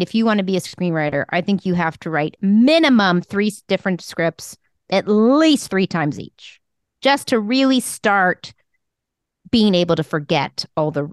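A woman's voice: on the low side, smooth, no room sound. Frank, emphatic woman, dry close sound. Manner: frank and emphatic, swears casually. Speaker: podcast co-host and screenwriting mentor. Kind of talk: casual conversational advice.

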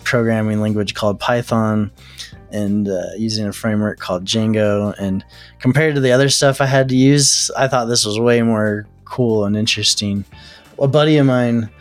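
Relaxed man telling his story. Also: fairly low pitch. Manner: relaxed, self-deprecating storyteller. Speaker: startup founder interviewee. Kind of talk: casual storytelling interview.

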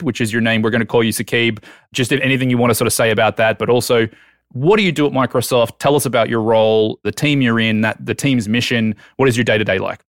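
A man's voice: mid-range voice, dry recording, studio quality. A man hosting a podcast, playful and joking as he banters and introduces the guest. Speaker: podcast host. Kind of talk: casual podcast chat.

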